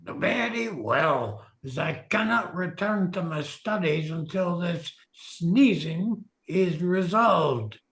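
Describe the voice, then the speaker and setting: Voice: mid-range voice, animated, slow, few pauses, fairly bright tone. An actor playing a grumpy, self-important wizard in a comic radio play, impatient and lecturing, in a roomy recording. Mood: grudging, lecturing.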